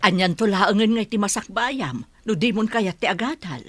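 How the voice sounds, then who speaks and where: A woman's voice: mid-range voice, expressive, normal speed, no echo, lightly acted. A woman acting as a sensible middle-aged mother in a radio drama, advising expressively. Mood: admonishing.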